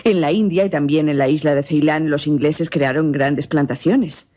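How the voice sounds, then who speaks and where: An actress playing a confident, witty and curious newspaper reporter in a radio drama, articulate and assured. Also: female voice, deep voice, steady tone, normal speed, dark tone. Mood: chatty, informative. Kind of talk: Spanish-language radio drama acting. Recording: muffled, lo-fi radio drama recording.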